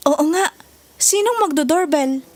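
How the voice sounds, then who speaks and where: A woman's voice: high-pitched, expressive, measured pace, a little mellow, dry recording. A woman acting a feisty, bantering teenage schoolgirl in a Tagalog audio drama. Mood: playful bickering.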